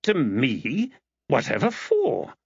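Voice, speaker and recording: Man acting an earnest, animated male character. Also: medium pitch, slow, vocal fry, slightly rough, no room sound, lo-fi sound, full character voice. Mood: astounded. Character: earnest, oblivious man, mildly exasperated. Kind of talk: audiobook character dialogue.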